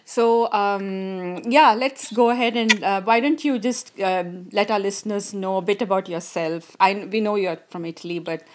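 A woman hosts a podcast interview, asking her questions politely and pausing often on ums and uhs. Her voice sits at a middle pitch and comes through as a remote call. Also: slight background noise. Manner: polite, hesitant with many fillers. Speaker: podcast host.

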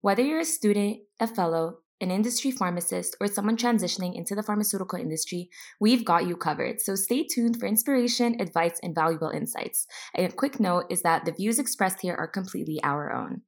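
A woman in her twenties co-hosts an interview podcast. Her voice sits in a middle pitch, and she sounds upbeat and organized as she reads a prepared intro before moving into questions. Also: fairly quick, hardly any pauses. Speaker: podcast co-host.

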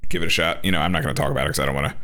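A man's voice: extremely low pitch, steady tone, racing, pushed and bright. A young adult man co-hosting a podcast, chatting casually in a loose, joking way full of swearing. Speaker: podcast co-host.

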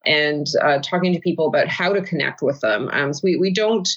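A middle-aged guest from Canada whose first language is Ukrainian, answering interview questions in a halting way and restarting phrases while searching for words. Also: medium pitch, a bit bright, highs cut off. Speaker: podcast guest.

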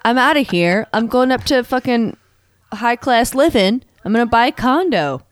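A woman's voice: fairly high pitch, expressive, moderate pace, clear, resonant tone, no room sound. A woman from Maine with a New England accent, co-hosting a podcast in a blunt, jokey way with some swearing. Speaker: podcast co-host. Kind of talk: casual chat.

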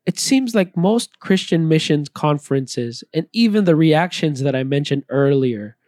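A man reading a scripted, reflective personal talk in an earnest, measured way. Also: fairly high pitch, lively intonation, a little mellow, dry recording, studio quality. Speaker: missionary speaker.

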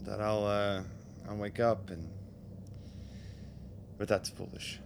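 A man's voice: on the low side, little pitch movement, slow pace, gravelly. An actor in a radio drama playing a self-doubting political leader, a man who sounds hesitant and agitated. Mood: humbled, unsure. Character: anxious, self-doubting new political chairman, a war hero. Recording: noticeable background noise.

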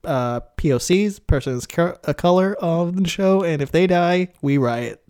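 A woman's voice: lowish voice, lively intonation, measured pace, a little mellow. A woman co-hosting a casual TV recap podcast, playful and enthusiastic as she steers the discussion. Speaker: podcast co-host.

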